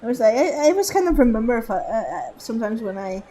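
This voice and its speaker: Woman streamer, rambling, giggly, fairly high voice, casual game commentary. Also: very animated, quick pace, mellow, slight background noise. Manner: rambling, laughing, many fillers and restarts.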